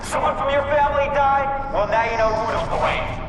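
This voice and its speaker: A man's voice: extremely high pitch, a little fast. A man playing an angry, accusing pirate broadcaster in a video game, rousing the public with emphatic delivery. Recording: echoing outdoor loudspeaker, distant, very noisy.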